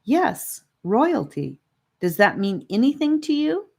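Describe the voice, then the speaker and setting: A woman's voice: fairly high pitch, very animated, slow pace, gravelly, breathy edge, voice pushed up, exaggerated voice. A woman voicing a proud, impatient young girl who speaks melodramatically in an audiobook. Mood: indignant, questioning.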